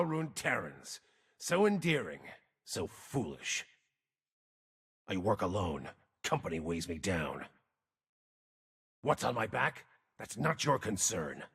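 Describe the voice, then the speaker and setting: A very husky, gravelly game mage, weary and brooding. His grim, terse lines swing widely in pitch and ring with long reverb. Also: a bit bright, heavily acted. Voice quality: raspy, gravelly. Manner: terse, grim, theatrical delivery. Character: weary, gruff, brooding wandering mage guarding dangerous runes. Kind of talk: video game character voice lines.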